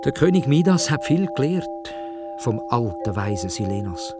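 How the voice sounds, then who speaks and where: A man with a Swiss German accent telling a children's story in a dramatic, expressive way, speaking directly to young listeners. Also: medium pitch, moderate pace, music in the background. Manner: dramatic, addresses young listeners with questions and exclamations.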